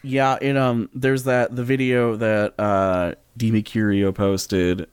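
A man hosts a casual podcast chat in a lowish voice. He is loose and joking, with halting phrasing. The recording is very dry, with no room sound. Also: level tone, clean tone, dry recording. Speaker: podcast host.

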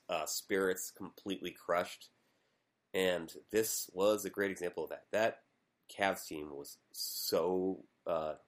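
A man co-hosting a podcast, chatting casually and rambling through his commentary with a self-deprecating edge. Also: low voice. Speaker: podcast co-host. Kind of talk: casual chat.

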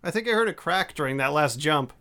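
A man's voice: on the high side, lively intonation, quick pace, pushed and bright. A man acting in an audio drama as an easygoing, teasing young friend, playful and slightly hesitant. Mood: bashful, cautious.

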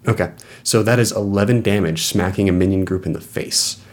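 A man's voice: deep voice, brisk, darkish tone. A man narrating a tabletop role-playing game as game master, in an informal, conversational way. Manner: informal, conversational rulings and scene-setting.

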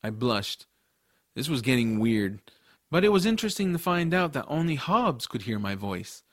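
A man playing a casual, excitable boy hero, telling a fantasy adventure in the first person. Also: mid-range voice, moderate pace, no echo, studio quality. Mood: narrating, indignant. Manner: casual, boyish phrasing. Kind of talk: first-person audiobook narration and drama.

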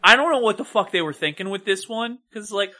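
A man's voice: sits high, fast, sharp tone. A man co-hosting a podcast, chatting casually about film in a blunt, emphatic, sweary way. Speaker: podcast co-host.

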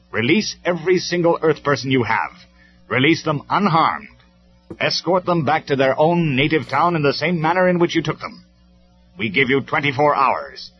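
A man's voice: medium pitch, pitched above the performer's own voice, close to an everyday voice. A man voicing a noble robot commander in a storybook reading, speaking in a stern, formal, declamatory way. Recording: dull lo-fi recording with music.

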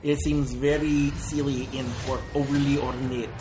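A man's voice: medium pitch, little pitch movement, moderate pace, over music. Rambling male podcast host. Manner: rambling, with many fillers and self-corrections. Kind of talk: casual chat.

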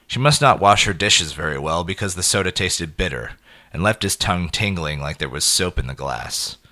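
A man narrates a dark short story in a low-pitched voice with a forceful, bright edge. His delivery is deliberate and dramatic, set out in clipped phrases. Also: animated. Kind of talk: scripted dramatic audio fiction narration.

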